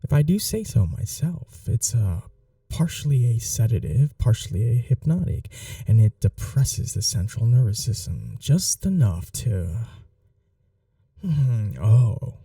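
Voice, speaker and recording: A man's voice: mid-range voice, sing-song, measured pace, gravelly, mellow, no room sound, far from the mic, heavily acted. A young man playing a smug, chatty mad scientist college roommate in an intimate ASMR audio roleplay, coaxing and condescending in a casual way. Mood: proud, condescending.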